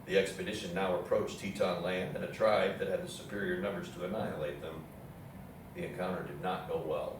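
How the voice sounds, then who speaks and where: Male narrator for historical readers theatre, lowish voice, heavily reverberant room, distant pickup. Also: steady tone, fairly quick. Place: indoor theatre room with audience program. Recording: echoey hall, distant mic, faint noise.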